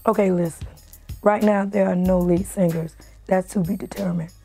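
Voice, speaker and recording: A hoarse middle-aged woman playing a bossy music producer running a girl-group rehearsal in a small studio. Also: fairly low pitch, fairly even intonation, moderate pace, dark tone, lightly acted. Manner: bossy, exasperated, repeatedly calling cut. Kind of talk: amateur film acting. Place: small dark recording studio.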